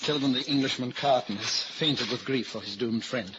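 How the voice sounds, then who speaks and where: An actor playing a self-sacrificing, melancholy English gentleman, resigned yet resolute, speaking deliberately in an old-time radio drama. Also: mid-range voice, lightly acted. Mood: commanding. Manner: deliberate, theatrical. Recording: dull, hissy old radio recording.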